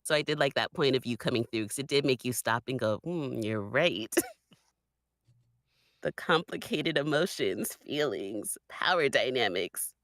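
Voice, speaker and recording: A deep-voiced woman chats casually on a panel, with a very lively, swooping delivery. She is expressive and slips into imagined quotes, recorded close and dry. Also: measured pace, no echo. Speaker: podcast panelist. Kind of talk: casual chat.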